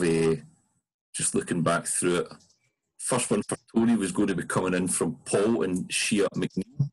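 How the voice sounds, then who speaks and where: A man's voice: sits low, slightly rough, poor audio. A Scottish man hosting an online fan Q&A, chatting informally and a little hesitantly on a video call. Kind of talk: conversational moderating.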